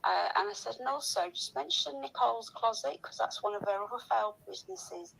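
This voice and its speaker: A woman's voice: fairly high pitch, expressive, a little fast, creaky, fairly bright tone, husky, poor audio. A woman chatting casually and gossiping in a rambling, sweary, complaining way.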